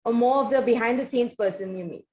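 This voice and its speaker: A woman with an Indian accent hosting a conversational interview, phrasing her questions in a measured, halting way. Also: a little high, darkish tone. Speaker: interview host. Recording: slightly dull lo-fi sound.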